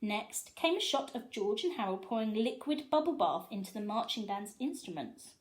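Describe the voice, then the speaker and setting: A woman reading a storybook aloud to young children in an expressive storyteller style. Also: a little high, normal speed.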